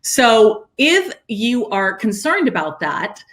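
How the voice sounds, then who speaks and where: A woman's voice: on the high side, big pitch swings, slow, a bit bright. A woman in her fifties co-hosting a webinar, talking to viewers in an upbeat, persuasive way. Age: 50s. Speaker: webinar co-host. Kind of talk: promotional webinar chat.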